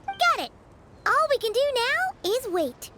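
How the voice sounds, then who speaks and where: A woman voicing a tiny, excitable female floating companion who chirps eagerly and talks about herself in the third person, in a video game cutscene. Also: very high voice, measured pace, a little hiss or hum, full character voice. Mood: excited. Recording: game cutscene with clear background music.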